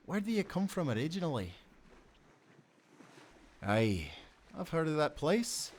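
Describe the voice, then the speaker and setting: An actor playing a loyal, tender sailor in a nautical audio roleplay, speaking in an earnest, gentle way. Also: male voice, fairly high pitch, big pitch swings, a little slow. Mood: curious, sympathetic. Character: loyal, tender young sailor, devoted companion.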